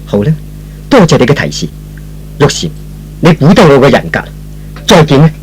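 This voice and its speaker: A man's voice: medium pitch, huge pitch swings, normal speed, a little hiss or hum. A man playing an earnest, principled young employee with a hesitant, deferential manner, in old-fashioned radio drama style. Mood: wounded pride.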